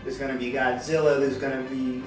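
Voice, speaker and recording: Male podcast guest, light raspy voice, conversational, far from mic in a reverberant room with music. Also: mid-range voice, little pitch movement, fairly quick. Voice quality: slightly raspy. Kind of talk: casual movie discussion with a friend.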